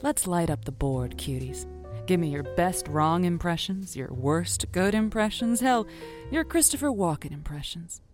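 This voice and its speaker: A woman's voice: lowish voice, animated, a little slow, music in the background. A woman playing a wry, theatrical host of a lonely-hearts radio show in an audio drama, telling stories playfully. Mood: brisk, playful. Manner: playful, wry, storytelling delivery. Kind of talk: semi-improvised audio drama monologue.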